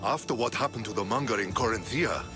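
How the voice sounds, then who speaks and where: Cautious warrior ally, male actor: slightly deep, reasoned, earnest video game delivery with music behind. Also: quick pace, natural voice. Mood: grave, cautious.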